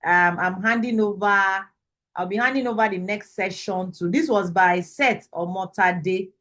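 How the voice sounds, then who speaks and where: A woman's voice: mid-range voice, unhurried, a bit bright. Nigerian woman hosting an online course. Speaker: course instructor and host. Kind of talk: online presentation. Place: video call.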